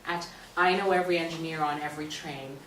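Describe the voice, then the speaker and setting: A woman with a fairly low voice narrates stage directions in a scripted reading. She is recorded from a distance in a roomy space, with faint noise around her. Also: fairly even intonation, quick pace, a bit bright.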